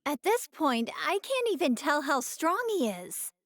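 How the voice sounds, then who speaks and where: This is an outspoken young anime woman from a video game. She speaks in a very high, girlish voice with candid, animated delivery. The sound is dry, with no room to it. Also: unhurried, no room sound. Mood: uneasy.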